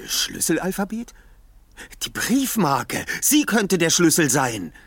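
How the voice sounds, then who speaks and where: A man's voice: on the high side, sing-song, takes it slowly, fairly bright tone, no room sound, heavily acted. An actor playing a clever, methodical young male detective in a German audio drama, explaining things precisely. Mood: intrigued.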